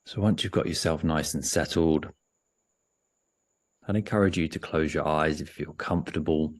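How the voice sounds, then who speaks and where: A man leading a guided meditation in a gentle, unhurried way, often pausing mid-sentence. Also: extremely low pitch.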